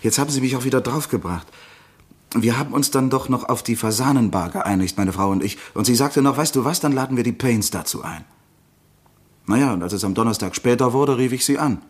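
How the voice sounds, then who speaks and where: Husky, evasive husband under questioning actor. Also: male voice, medium pitch, lightly acted. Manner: halting, evasive. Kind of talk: German radio crime drama acting.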